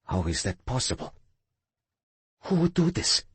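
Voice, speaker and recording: A man's voice: mid-range voice, sing-song, unhurried, a bit bright, slightly rough, no room sound, pitched above the performer's own voice, heavily acted. A man narrating an audiobook, voicing an older uncle who coaxes and threatens. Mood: coaxing. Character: older Russian uncle, smooth and menacing.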